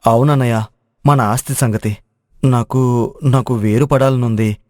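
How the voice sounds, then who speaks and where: A man's voice: medium pitch, fairly even intonation, a little slow, a little mellow, lightly acted. A man playing a naive, hesitant younger brother in a moral story, recorded with long reverb.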